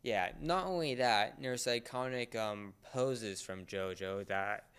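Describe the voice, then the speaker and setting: A man hosting a casual entertainment talk, explaining things in a halting way. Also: mid-range voice, fairly bright tone, clean tone. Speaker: podcast host.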